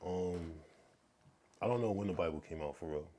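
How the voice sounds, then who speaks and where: A man's voice: sits low, normal speed, warm tone, a little hiss or hum. A man, a rapper guesting on a podcast, talking in a loose, slangy, rambling way. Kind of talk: casual conversation.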